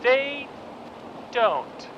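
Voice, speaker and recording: A man's voice: extremely high pitch, big pitch swings, extremely slow, heavily acted. An actor playing a desperate captive spy pleading urgently with his wife over a radio link in an audio drama. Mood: confessing, regretful. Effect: radio transmission. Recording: dull radio transmission, noisy ambience.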